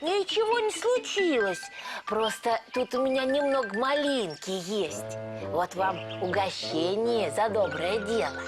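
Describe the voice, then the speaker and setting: A woman's voice: a little high, animated, unhurried, over loud music. A woman voicing a kindly, weary old granny squirrel in a children's cartoon, sighing and grateful.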